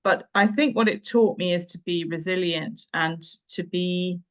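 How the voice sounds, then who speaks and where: A woman's voice: on the low side, a little slow, fairly bright tone. A woman, reflecting conversationally on her working life as an interview guest, with hesitant phrasing and emphatic words. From Nairobi, Kenya; boarding school in England. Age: 50s. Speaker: interview guest, English teacher and tutor. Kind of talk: conversational career reminiscence.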